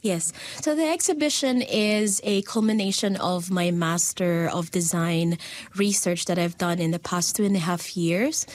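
A woman's voice: on the low side, a little mellow. Earnest woman. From Philippines. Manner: earnest, with frequent fillers and repeats. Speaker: designer and lecturer, guest. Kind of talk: reflective interview answers.

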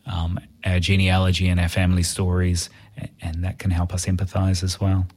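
A man's voice: deep voice, monotone, fairly quick, a little mellow. A man with a New Zealand accent, an interviewed writer talking reflectively in a conversational style.

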